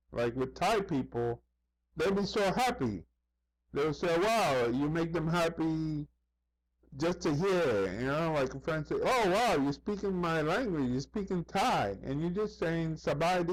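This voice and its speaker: A man's voice: fairly high pitch, low-quality recording. A man talking in a casual, rambling monologue, repeating himself, saying "you know" often and acting out other people's remarks as he tells anecdotes. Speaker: vlogger.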